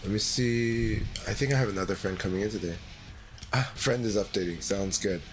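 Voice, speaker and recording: A man hosting a livestream in a relaxed, rambling way, his voice deeper than usual after secondhand smoke. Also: fairly quick, soft background music, low-quality recording. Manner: relaxed, rambling, many fillers. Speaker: streamer. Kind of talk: casual livestream chat.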